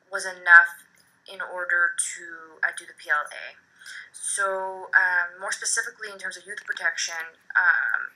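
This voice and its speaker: A woman's voice: fairly low pitch, pushed and bright. A woman in her thirties from Quebec, introducing herself for a course recording, hesitant with frequent fillers and self-corrections. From Quebec, Canada. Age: 30s. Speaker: social work student. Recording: tinny phone-like recording.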